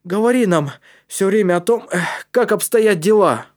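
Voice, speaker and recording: A man's voice: high voice, sing-song, a little slow, pitched above the performer's own voice. A man voicing a strong, bold older boy who leads with urgent commands in a story. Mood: encouraging.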